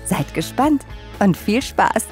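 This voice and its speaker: A woman's voice: medium pitch, very animated, slow, a little mellow. A woman hosting a feature with scripted narration. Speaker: host. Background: music behind the voice.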